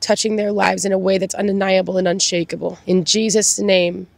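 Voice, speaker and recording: A woman's voice: medium pitch, level tone, fairly quick, creaky, no echo. Congested young woman preaching outdoors. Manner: chatty, laughs often, earnest preaching. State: congested from sinus infection. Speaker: faith vlogger and host. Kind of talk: casual devotional talk and prayer. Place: park bench outdoors. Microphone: handheld clip-on mic held near mouth.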